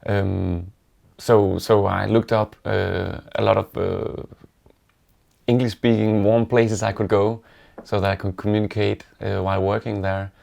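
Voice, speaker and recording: A man with a Danish accent, a native Danish speaker, casually and reflectively telling stories in an interview with halting pauses. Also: on the low side, level tone, vocal fry, darkish tone. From Denmark.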